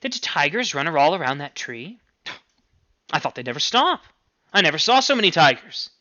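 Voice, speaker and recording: A man's voice: on the high side, big pitch swings, normal speed, sharp tone, dull audio. A man voicing a whimsical, teasing young man in a story, with playful mock-serious emphasis. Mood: amused.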